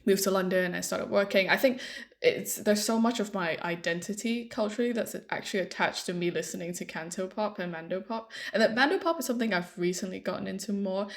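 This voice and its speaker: A young woman from Hong Kong in casual podcast chat, explaining her thoughts at length. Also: on the low side. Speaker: podcast co-host. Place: London.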